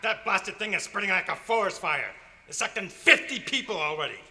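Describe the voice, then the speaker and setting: A very sharp, high male voice cuts through a reverberant hall. A man plays a brash, impatient townsman in a vintage radio play, blunt and slangy. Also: expressive, fairly quick, heavily acted. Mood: impatient alarm. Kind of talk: old-time radio drama acting. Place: hotel convention meeting room, live performance. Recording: reverberant live hall, faint noise.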